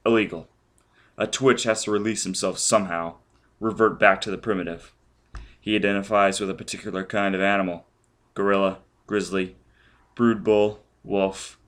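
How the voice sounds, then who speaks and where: A man's voice: lowish voice, steady tone, normal speed, a bit bright, lightly acted. A man voicing a ruthless, vengeful man who barks demands and threats. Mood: cold. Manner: menacing, barking demands. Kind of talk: audiobook character voice.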